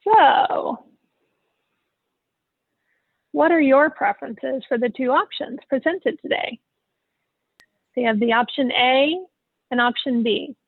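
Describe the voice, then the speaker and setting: A woman's voice: high voice, slow pace, gravelly, pauses often, husky, no echo. A woman presenting plans at an online public meeting, over thin phone-like call audio. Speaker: facilities planning presenter.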